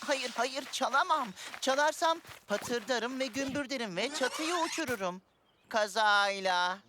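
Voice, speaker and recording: A woman voicing a friendly, excitable cartoon DJ creature who is bashful and quick to apologise. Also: on the high side, heavy background noise. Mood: reluctant. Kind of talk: children's cartoon dub acting. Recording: loud music behind.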